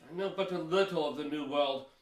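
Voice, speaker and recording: A cautious, pious Pilgrim elder played by a man speaks in a fairly high male voice. He is hesitant and formal in old-fashioned English, heard at some distance in an echoey audio-play recording. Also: a little fast, close to an everyday voice. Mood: doubtful, wary. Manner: hesitant, archaic formal phrasing. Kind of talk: amateur historical audio play acting. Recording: roomy echo, distant from mic.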